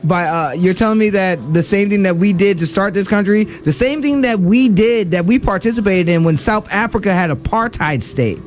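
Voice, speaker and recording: A man's voice: sits high, lively intonation, moderate pace, few pauses. A man co-hosting a radio show, giving heated political commentary with many fillers and restarts.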